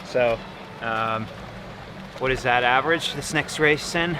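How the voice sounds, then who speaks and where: A man's voice: mid-range voice, unhurried, noisy background. A man chatting casually, wry and self-deprecating. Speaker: pro triathlete. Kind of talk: casual vlog chat.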